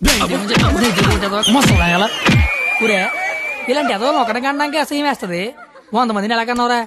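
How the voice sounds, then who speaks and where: A man's voice: very high-pitched, fairly quick. A man playing an indignant, scolding man in a chaotic stage play in a film comedy. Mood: angry, sarcastic. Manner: scolding, emphatic, sarcastic. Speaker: actor in a film comedy scene. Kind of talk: Telugu film comedy acting. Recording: film audio, loud music and crowd.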